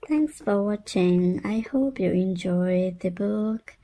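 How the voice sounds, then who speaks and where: A woman's voice: mid-range voice, slow pace, dark tone. A woman reading a picture book aloud to babies and toddlers in a playful sing-song way, repeating words. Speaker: children's read-aloud channel host.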